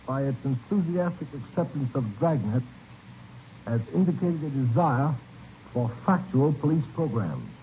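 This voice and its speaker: A tinny old radio recording with faint noise carries an American man. He is a police chief giving a formal, stiffly read address at a medium pitch.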